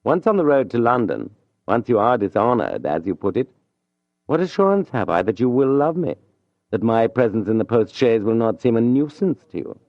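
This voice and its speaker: A man's voice: mid-range voice, big pitch swings, normal speed, no echo. A man playing a proud, ardent young man. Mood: icy. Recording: dull dry studio audio.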